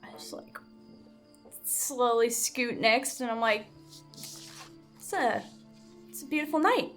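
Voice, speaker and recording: A woman playing a tabletop roleplaying game, chatting casually in an expressive, exclamatory way. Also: fairly high pitch, slow. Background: faint background music.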